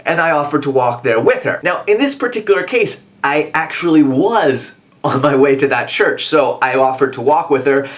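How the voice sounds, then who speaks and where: Higher-voiced young American man, video blogger. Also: moderate pace. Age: 20s. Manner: animated, emphatic delivery with dramatic mimicry. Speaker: video blogger and motivational speaker. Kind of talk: comic scripted storytelling to camera.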